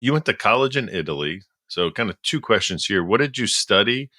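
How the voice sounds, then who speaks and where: A man in his fifties hosting a podcast and running a brisk, friendly lightning round over a video call. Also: lowish voice, expressive, pushed and bright, no echo, studio quality. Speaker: podcast host. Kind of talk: hosting an interview. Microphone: headset on video call.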